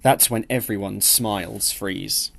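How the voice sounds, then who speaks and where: A man's voice: on the low side, fairly even intonation, measured pace, gravelly. A man narrating a literary novel as an audiobook, reading expressively and acting out the dialogue.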